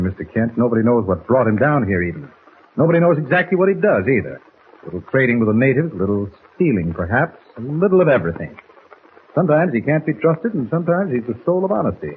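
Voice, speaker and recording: An actor playing a courteous, cautious plantation manager with a measured manner in a 1940s radio drama. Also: male voice, mid-range voice, very animated, close to an everyday voice. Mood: wary, concerned. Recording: tinny, noisy 1940s radio recording.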